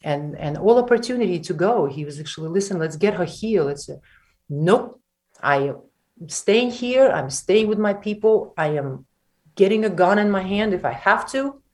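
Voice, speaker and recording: A woman from Ukraine speaking as an interview guest, halting and emphatic with many restarts. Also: medium pitch, animated, a little mellow. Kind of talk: emotional conversational interview.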